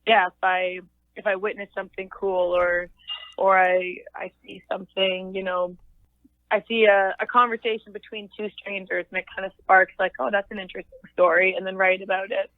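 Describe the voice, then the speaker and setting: A young woman with a naturally low voice talks through an interview, pausing and restarting as she thinks aloud. She comes through a tinny, phone-like line that sounds very dry and close. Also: level tone, no room sound. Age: young adult. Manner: thoughtful, halting, many fillers and restarts. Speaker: singer-songwriter guest. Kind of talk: casual interview chat.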